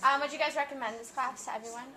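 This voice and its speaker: A woman's voice: sits high, steady tone, rapid-fire, crowd noise. A teenage girl interviewing classmates for a class project, hesitant with ums. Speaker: student interviewer.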